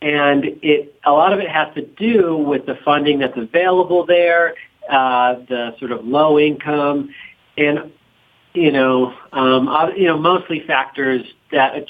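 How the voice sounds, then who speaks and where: A man being interviewed as a documentary producer, talking informally and haltingly as he thinks aloud. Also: fairly high pitch, phone-quality sound.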